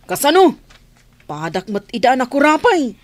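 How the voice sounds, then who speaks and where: High-pitched, inquisitive young Ilocano friend. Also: female voice, sing-song, normal speed, no echo. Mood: prying. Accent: Ilocano Filipino. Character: inquisitive, easygoing young woman, the heroine's friend. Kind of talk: radio drama acting.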